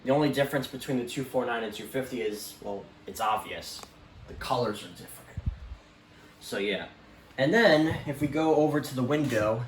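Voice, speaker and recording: A man giving a casual, unscripted show-and-tell as a collector, rambling hesitantly and often trailing off. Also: mid-range voice. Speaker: radio collector presenting their collection. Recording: roomy echo, light background noise.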